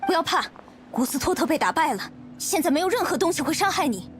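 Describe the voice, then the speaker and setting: A woman playing a gentle, concerned young female traveler in a video game, soft and reassuring. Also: very high-pitched, animated, fast. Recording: loud music under the voice.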